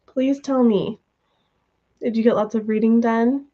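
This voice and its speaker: A woman hosting a casual reading livestream from home, her voice worn from a cold, chatty and relaxed with many ums and soft laughs. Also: fairly high pitch, a little slow. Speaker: livestream host of reading sprints. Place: at home, room with her cat. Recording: dull lo-fi stream audio.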